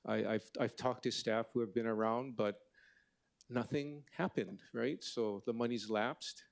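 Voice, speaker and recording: Gravelly man answering council questions. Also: mid-range voice, steady tone. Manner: halting, repeats words. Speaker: city finance official.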